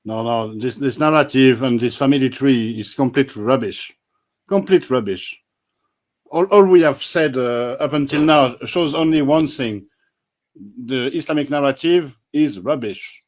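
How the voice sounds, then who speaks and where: A man, a guest researcher on a panel, explaining things in a blunt, firm and measured way. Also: medium pitch. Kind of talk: casual panel discussion.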